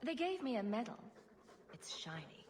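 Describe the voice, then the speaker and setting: A woman's voice: on the high side, big pitch swings, normal speed, a little mellow, a little hiss or hum. An actress voicing a wisecracking woman champion in a video game, with a dry, flippant, sarcastic manner. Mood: dry humor.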